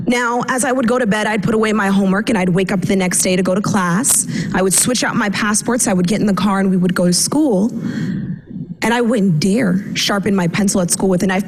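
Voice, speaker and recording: A young woman from the United States giving a prepared personal talk on a stage, telling her story with shifting pace and pauses. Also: medium pitch, a little hiss or hum, rough recording. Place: large auditorium stage.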